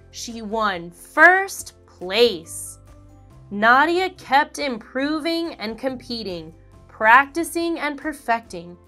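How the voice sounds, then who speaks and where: Animated, slow-reading woman storyteller. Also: a little high. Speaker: children's book reader. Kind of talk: expressive read-aloud for children.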